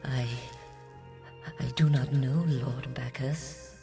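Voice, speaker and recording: A man playing a subservient Martian underling who addresses his master in a drawn-out, obsequious way. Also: medium pitch, fairly even intonation, creaky, mellow, faint music behind. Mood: servile, nervous.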